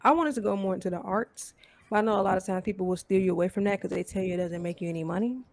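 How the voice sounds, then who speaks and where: A woman's voice: medium pitch, rapid-fire, no echo. A woman guest chatting casually, speaking in a candid, informal way. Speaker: show guest. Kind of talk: casual chat.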